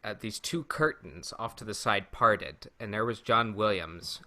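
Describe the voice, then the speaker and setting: Low-pitched man, bright forceful podcast voice. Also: measured pace. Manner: enthusiastic, jokey, emphatic. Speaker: podcast co-host. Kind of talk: casual storytelling and banter.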